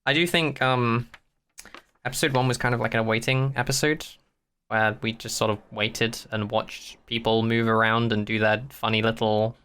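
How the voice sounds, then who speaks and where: Offhand man with a smooth, bright voice. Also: medium pitch, level tone, moderate pace. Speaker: podcast co-host. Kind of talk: casual chat about a TV series.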